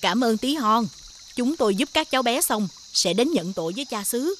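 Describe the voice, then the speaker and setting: An actress voicing an anxious, well-meaning woman in an animated fairy tale. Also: a little high, normal speed, a little hiss or hum, close to an everyday voice. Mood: grateful.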